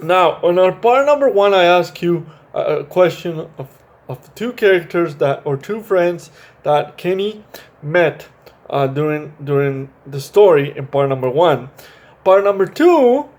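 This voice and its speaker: A man hosting a children's story read-aloud, chatting to young viewers in a halting way and often correcting himself. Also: fairly high pitch, darkish tone. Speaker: storytime video host reading a children's book.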